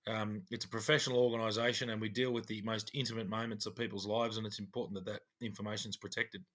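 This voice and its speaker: Bright, measured man. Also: on the low side, flat delivery. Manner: measured, explanatory. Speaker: podcast co-host, former police officer. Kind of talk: casual chat.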